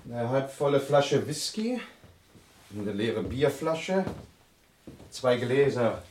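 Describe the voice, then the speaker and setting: An actor playing an observant, deferential detective sergeant who reports facts in a German radio crime drama. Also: male voice, mid-range voice, lively intonation, slow pace, background noise.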